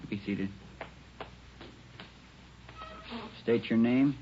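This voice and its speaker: Male actor as probing, formal inquest coroner; medium pitch, dull vintage radio recording. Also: a little hiss or hum, close to an everyday voice. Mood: formal, procedural. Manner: formal, measured, official questioning. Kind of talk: 1940s radio drama acting.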